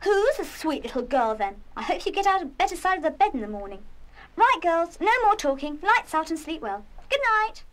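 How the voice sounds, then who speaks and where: An actress playing a bossy, stern schoolgirl in charge of a dormitory in a children's radio play, brisk, scolding and sarcastic. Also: extremely high pitch, very animated. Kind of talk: full-cast children's radio play acting.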